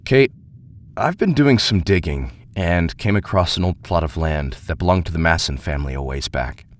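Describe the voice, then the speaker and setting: An actor playing a grave, uneasy investigator reading out his letter in an audio drama, halting and ominous, hushed at times. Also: low-pitched, animated. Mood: grave, brooding.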